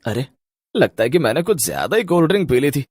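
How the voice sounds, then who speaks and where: A man's voice: medium pitch, big pitch swings, quick pace, darkish tone, breathy edge, dry recording, clean studio sound. A man voicing a silly, film-loving ordinary fellow with theatrical exclamations in a Hindi cartoon story. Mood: carefree, delighted. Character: carefree, silly film-loving man who turns frightened.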